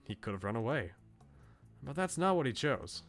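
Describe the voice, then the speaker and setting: A bookish young male student from a visual novel, read aloud by a man. He muses philosophically in articulate, politely opinionated phrases, his pitch moving freely. Light music sits underneath. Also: very animated, moderate pace. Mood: earnest, critical.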